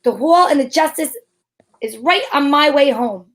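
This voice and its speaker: A woman's voice: sits high, expressive, moderate pace. A woman playing a breezy, wisecracking private detective in an amateur old-time radio drama, wry and playful.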